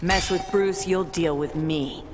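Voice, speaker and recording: A woman's voice: lowish voice, a little slow, close to an everyday voice. An actress playing a confrontational, blunt and sarcastic female fighter in a video game. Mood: defiant, sarcastic. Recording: loud music behind the voice.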